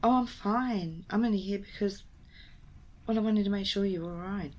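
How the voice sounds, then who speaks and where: A woman's voice: mid-range voice, normal speed, a little mellow, lo-fi sound, lightly acted. A woman playing a wry, evasive young rescuer in an intimate ASMR audio roleplay, with a sardonic, hesitant manner. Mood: awkward, reassuring. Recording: noisy ambience behind close voice.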